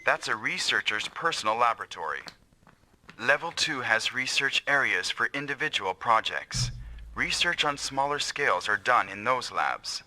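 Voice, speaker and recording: An actor playing a level-headed, capable squad teammate in an anime-style video game, giving matter-of-fact briefings. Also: male voice, medium pitch, animated, moderate pace, bright, forceful tone, poor audio. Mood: informative, cautioning.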